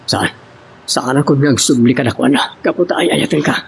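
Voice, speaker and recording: A man's voice: mid-range voice, moderate pace, close to an everyday voice. A man with an Ilocano accent playing a stubborn husband in a troubled marriage in a love drama. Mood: defiant. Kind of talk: radio-style Ilocano love drama acting. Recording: clear background noise.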